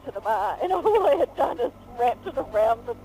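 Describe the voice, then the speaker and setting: A woman chats animatedly, laughing through her stories. Her voice sits high and swoops up and down a lot. Faint vehicle noise hums in the background. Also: fairly quick, low-quality recording. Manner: giggly, animated storytelling. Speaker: vlogger. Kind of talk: casual storytelling chat. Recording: road noise behind.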